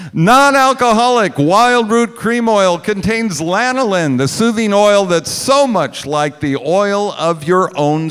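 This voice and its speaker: Male vintage-radio announcer, higher voice, clean resonant tone, deliberate and emphatic, live hall. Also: a bit bright, clear, resonant tone. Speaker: radio play director and announcer. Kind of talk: 1940s radio announcing, scripted ad reads. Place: stage of a large hall. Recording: echoey stage hall, music behind.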